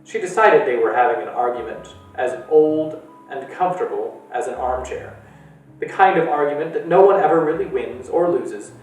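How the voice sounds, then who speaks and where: A man reading a novel aloud to children at a library storytime, in a steady storytelling way. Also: normal speed, distant mic. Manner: steady storytelling with lively pitch. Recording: echoey room from afar with faint music.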